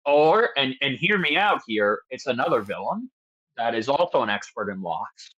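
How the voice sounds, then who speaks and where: Slightly muffled lo-fi recording, male voice, middle pitch, casual commentary chat. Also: very animated, moderate pace, fairly bright tone. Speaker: show host. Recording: slightly dull, lo-fi microphone.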